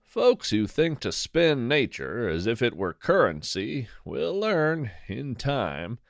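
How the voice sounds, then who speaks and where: A man's voice: mid-range voice, sing-song, slow, vocal fry, dry recording, clean studio sound, full character voice. A man playing a folksy, unflappable naturalist who reassures with wry humor in an audio fiction podcast. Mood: reflective.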